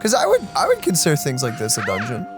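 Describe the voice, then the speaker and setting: A chatty man with a slightly high, animated voice co-hosts a video game playthrough. He gives opinions in casual style, with faint music behind him. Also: fast talker. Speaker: let's play co-host. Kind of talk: casual gaming chat.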